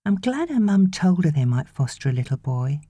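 A woman's voice: lowish voice, sing-song, fairly quick, warm tone, husky, no echo. A woman voicing a chatty, caring teenage girl babysitter who gets a little exasperated, in an audiobook story.

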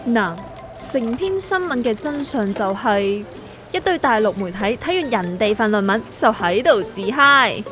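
A woman as a high-voiced, sardonic Hong Kong know-it-all. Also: animated, measured pace, fairly bright tone. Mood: mocking. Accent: Hong Kong Cantonese. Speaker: voice actor in a radio drama. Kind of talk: scripted comedic dialogue. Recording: music under the voice.

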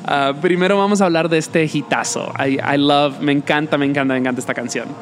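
A woman's voice: deep voice, fairly even intonation, quick pace. A woman hosting a podcast who chats casually, drops English phrases into her Spanish, and restarts her sentences often. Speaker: podcast host. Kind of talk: casual chat.

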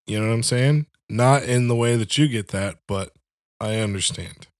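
A man's voice: medium pitch, normal speed, no echo. Joking, foul-mouthed man, dry sound. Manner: joking, profane, fond of "you know what I'm saying". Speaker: podcast co-host. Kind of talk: casual chat.

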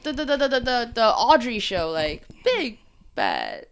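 A woman in a casual group chat, chiming in with quick interjections and laughter. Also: a little high, wildly swooping pitch.

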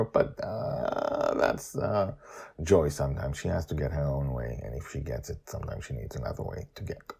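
A man hosting a story podcast and reading his own tale in an animated, emphatic way with short dramatic phrases. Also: rumbling low, gravelly, husky.

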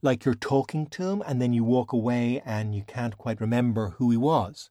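A blunt young Irish woman in a storybook played by a male narrator. The delivery is casual and wry, slightly raised in pitch. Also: normal speed, warm tone, dry recording, clean studio sound, lightly acted. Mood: frank, questioning.

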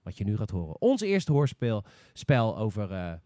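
A man's voice: on the low side, sing-song, normal speed, dark tone, dry recording, studio quality. A man hosting a casual podcast chat about games. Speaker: podcast host.

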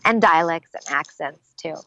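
A woman's voice: low voice, moderate pace, a bit bright, dry recording, poor audio. A middle-aged woman voice actress, chatting animatedly in an interview and slipping into little character voices. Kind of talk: casual interview talk.

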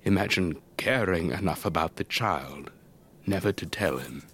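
An actor playing an elderly English retired air force officer, telling his story in a measured, wistful, old-fashioned way for a radio drama. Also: low voice, a bit bright. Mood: pained, reflective. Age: older. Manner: measured, old-fashioned, reflective, breathy trailing phrases. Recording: faint background noise.

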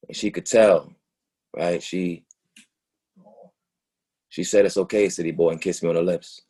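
An actor playing a vulnerable young city man confessing to his lover in a play reading, halting and earnest. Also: sits low, steady tone, mellow, husky. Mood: hurt, pleading. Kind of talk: dramatic monologue in a staged play reading.

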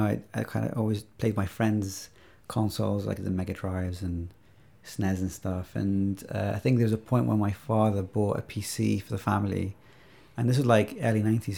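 A man's voice: lowish voice, creaky, mellow. A man in his thirties, originally from Iraq, talking reflectively as a podcast guest with many fillers and restarts. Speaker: podcast guest, video game maker. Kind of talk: casual interview chat.

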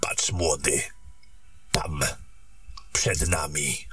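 Man acting a very deep, husky wizard mentor. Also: steady tone, bright, forceful tone, exaggerated voice. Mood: wary, guarded. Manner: measured, terse, instructing. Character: calm, seasoned, confident male wizard mentor. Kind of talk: fantasy audio drama acting.

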